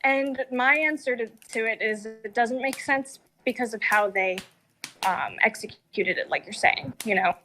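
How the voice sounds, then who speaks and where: A woman's voice: fairly high pitch, unhurried, pushed and bright, a little hiss or hum. A woman in a casual analytical discussion, articulate but informal as she thinks aloud.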